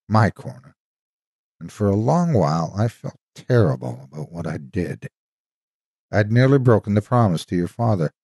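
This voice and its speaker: Deep, husky male horror storyteller. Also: no room sound, clean studio sound. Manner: measured, expressive storytelling. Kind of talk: scripted dramatic story narration.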